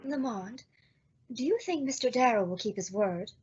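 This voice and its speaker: A woman acting an anxious, excitable lady sleuth in a melodramatic old-time radio thriller. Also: on the high side, normal speed, highs cut off, slight background noise, lightly acted. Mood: uneasy. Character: Margot Lane, anxious young lady sleuth's companion.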